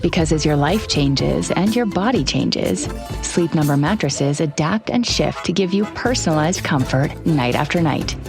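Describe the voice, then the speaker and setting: A woman with a deep voice narrates a scripted commercial. Music plays clearly behind her measured advertising read. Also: expressive. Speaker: advertising voice-over. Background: clear music bed.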